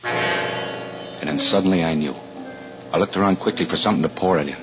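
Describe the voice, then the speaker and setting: An American man playing an anxious, suspicious husband narrating a 1940s radio suspense drama, with deliberate, clipped delivery. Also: deep voice, close to an everyday voice. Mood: grim, tense. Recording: muffled 1940s radio recording with music.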